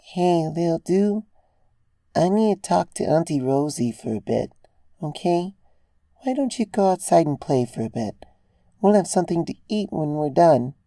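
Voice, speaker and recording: A performer voicing a gentle, doting mother pegasus, cooing softly in a storybook reading. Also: mid-range voice, lively intonation, a little slow, no room sound, studio quality, voice pushed up. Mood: gentle, quieter. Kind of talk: storybook character voice in fan fiction reading.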